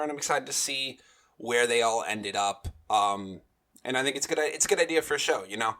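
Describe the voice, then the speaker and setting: A man's voice: fairly low pitch, pushed and bright. A man co-hosting a casual fan podcast, talking emphatically and often repeating himself. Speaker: podcast co-host.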